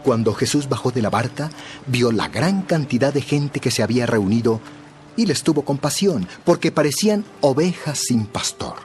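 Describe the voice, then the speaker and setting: A man narrating a dramatized Bible story in a measured, expressive storytelling style. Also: mid-range voice, faint music behind.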